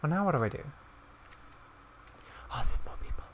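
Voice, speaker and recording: A man's voice: normal speed, in a whisper. A man giving casual gameplay commentary in between reading dialogue aloud. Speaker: gaming YouTuber.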